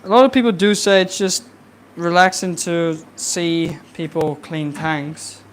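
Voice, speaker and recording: A young adult fishkeeping streamer chatting casually and rambling with fillers during a livestream from a home fish room, with a soft, relaxing voice. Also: mid-range voice, fairly even intonation, a little slow, slight background noise. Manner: easygoing, rambling, many fillers. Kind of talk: casual livestream chat while cleaning tanks.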